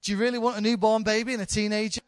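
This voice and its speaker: A woman's voice: mid-range voice, steady tone, very fast, dry recording. A woman in her thirties, a church leader, giving an informal, candid sermon with self-deprecating humour. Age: 30s.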